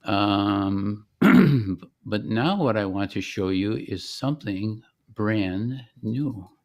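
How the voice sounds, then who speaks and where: A man presenting a software demo in an informal, conversational way, as the founder showing his product on screen. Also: fairly low pitch, takes it slowly. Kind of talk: informal screen-share demonstration.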